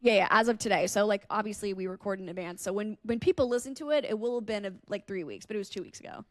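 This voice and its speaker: A woman's voice: mid-range voice, quick pace, dry recording, studio quality. A young adult woman, a podcast co-host and radio host, chatting casually.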